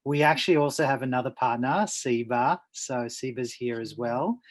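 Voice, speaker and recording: A man's voice: mid-range voice, rough recording. A man with an Australian accent, an upbeat startup co-founder presenting on a webinar.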